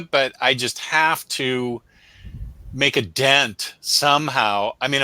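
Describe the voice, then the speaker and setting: Bright-voiced man hosting a casual livestream. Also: medium pitch, no echo. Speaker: host of a comic book review show.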